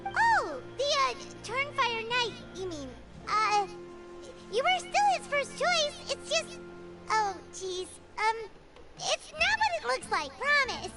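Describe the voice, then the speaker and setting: A tiny, chirpy female companion with a very high, bouncy voice that leaps all over the place. She sounds excitable and easily flustered. Game music plays underneath. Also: slow, full character voice. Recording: game audio with music behind.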